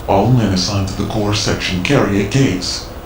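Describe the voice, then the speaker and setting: An actor playing a mysterious, cryptic male informant in a video game cutscene, speaking in a measured, lecturing way. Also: on the low side, noisy background, natural voice. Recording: roomy game mix with loud music.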